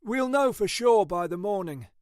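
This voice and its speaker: A man's voice: high-pitched, animated, normal speed, a little mellow, dry recording, clean studio sound, pitched above the performer's own voice. A man doing a doubtful, offhand policeman. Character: easygoing, doubtful male police colleague.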